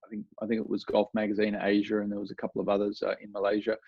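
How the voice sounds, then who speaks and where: A man's voice: lowish voice, completely flat delivery, racing, vocal fry, breathy edge, no echo, muffled recording. An Australian man, a golf coach, talking casually in an interview, rambling with lots of fillers and restarts.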